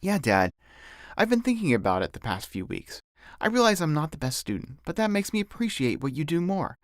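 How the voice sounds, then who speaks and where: A man's voice: a little high, sing-song, brisk, no echo, clean studio sound, voice pushed up. A man voicing a kind, thoughtful twelve-year-old boy in an audiobook, talking casually and a little hesitantly. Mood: earnest.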